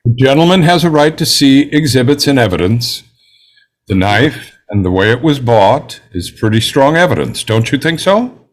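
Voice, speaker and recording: A man playing a composed, self-assured juror in a script table read, speaking in a measured, precise way. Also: medium pitch, big pitch swings, lightly acted.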